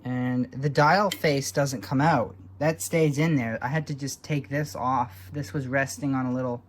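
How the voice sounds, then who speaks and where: A man's voice: medium pitch, fairly even intonation, unhurried. A man giving casual, unscripted show-and-tell commentary in a dry, wry manner, like a hobbyist vlogger. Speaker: vlogger showing old radio repairs.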